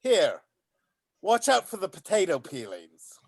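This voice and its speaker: Man playing a high-voiced, matey bumbling handyman. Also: huge pitch swings, a little slow, creaky, breathy edge, exaggerated voice. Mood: harried, unbothered. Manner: matey, casual. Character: bumbling, easygoing handyman in an amateur panto troupe. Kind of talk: play reading of a stage comedy.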